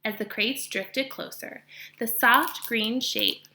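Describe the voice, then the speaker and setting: A woman reading a children's novel aloud with expression, pausing to explain narrative point of view to her listeners like a teacher. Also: fairly high pitch, sharp tone, a little hiss or hum, poor audio.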